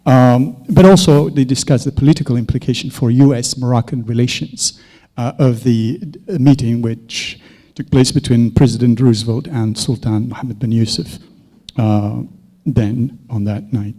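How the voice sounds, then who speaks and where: A man's voice: mid-range voice, steady tone, moderate pace, dark tone. A man giving an academic conference talk, hesitant with frequent fillers. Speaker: academic speaker. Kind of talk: conference lecture. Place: seminar hall.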